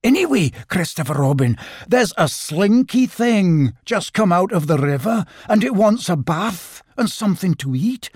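A man's voice: sits high, very animated, a little slow, few pauses, darkish tone, breathy edge, no echo, clean studio sound, pitched above the performer's own voice, heavily acted. A man voicing a prim, fretful male rabbit in a storybook, easily flustered and offended. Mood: anxious. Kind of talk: storybook character voice.

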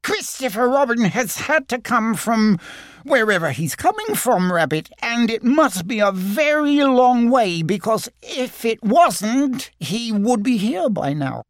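A tiny, nervous piglet with a high, fluttering voice, as a man reads him. He sounds anxious and small, as in a storybook read aloud. The recording is close and dry. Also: sing-song, measured pace, no echo, exaggerated voice. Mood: fretful. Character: small timid young male piglet, nervous.

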